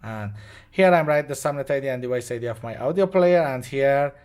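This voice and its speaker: A man with a medium-pitched voice demonstrates a product hands-on. He is a non-native English speaker and explains step by step, unscripted. His phrases are short and simple, with a halting flow. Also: animated, darkish tone, clean tone. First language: not English. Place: indoors at a demo bench with devices.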